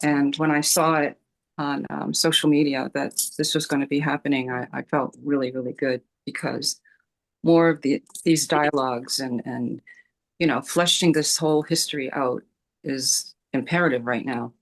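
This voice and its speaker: A woman from Rhode Island speaks up in a group video call, her voice gravelly with a touch of fry. She talks hesitantly, filling pauses with ums and restarting her sentences. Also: deep voice, fairly even intonation, low-quality recording. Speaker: community discussion participant. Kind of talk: casual discussion on a video call.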